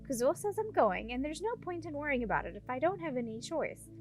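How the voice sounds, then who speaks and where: A woman's voice: high-pitched, very animated, a little fast, soft background music. A woman voicing a headstrong, curious young princess in a storybook, frank and questioning in manner. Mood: resolved.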